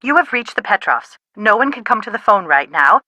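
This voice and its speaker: A woman's voice: medium pitch, very animated, fairly quick, sharp tone, a little hiss or hum. A woman playing a mother in a game's telephone dialogue. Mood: recorded greeting. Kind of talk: game character phone dialogue.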